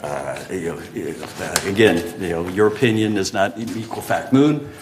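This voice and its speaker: A man's voice: deep voice, a little slow, noisy background. An older man chairing a community board meeting, procedural and hesitant, with many "um" and "uh".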